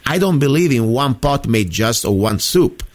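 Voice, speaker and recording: A man's voice: mid-range voice, expressive, normal speed. A man from Italy with an Italian accent, hosting a radio call-in show in an emphatic, repetitive way. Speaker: radio talk show host. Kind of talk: opinionated call-in chat.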